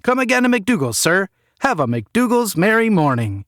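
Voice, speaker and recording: A man voicing a relentlessly chirpy fast-food clerk who recites corporate patter in an over-enunciated singsong for an audio story. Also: high-pitched, sing-song, fairly bright tone, no room sound, studio quality, voice pushed up. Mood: forced cheer.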